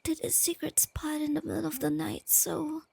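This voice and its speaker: A woman playing a shy, nerdy, easily flustered young girlfriend in a soft-spoken ASMR roleplay, with a girlish, hesitant, stammering delivery. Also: high-pitched, completely flat delivery, normal speed, creaky, no echo. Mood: nervous, sweet. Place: close dry recording with night insects behind.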